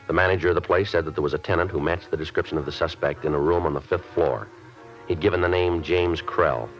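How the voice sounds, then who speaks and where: An actor playing a police detective who narrates a case in clipped, matter-of-fact sentences, in a 1950s radio drama. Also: male voice, extremely low pitch, fairly bright tone. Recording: dull old radio broadcast.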